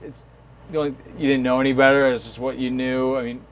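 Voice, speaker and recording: Man hosting, halting and repetitive. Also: mid-range voice, little pitch movement, a little hiss or hum, poor audio. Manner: friendly, halting, repeats words. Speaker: podcast host. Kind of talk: casual interview. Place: aboard a small boat.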